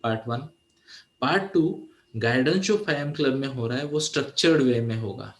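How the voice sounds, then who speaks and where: A man's voice: medium pitch, normal speed, reverberant. A man in his forties, a teenage mentor, giving a motivational talk and often asking his listeners "है ना?". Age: 40s. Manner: mixes English phrases into Hindi, asks "है ना?" often.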